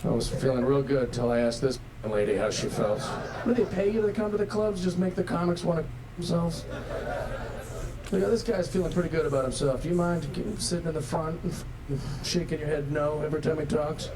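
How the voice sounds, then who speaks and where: Male comic, high lively voice, sarcastic storytelling, noisy recording. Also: a little fast. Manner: sarcastic, acts out the people he quotes. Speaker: stand-up comedian. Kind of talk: stand-up set.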